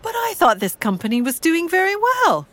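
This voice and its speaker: A woman's voice: extremely high pitch, wildly swooping pitch, normal speed, slight background noise, exaggerated voice. An actress playing a shrewd, genteel middle-aged mother in an audio drama, speaking formally and precisely with dry, sceptical wit. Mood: wary, questioning. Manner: formal, precise, dryly pointed.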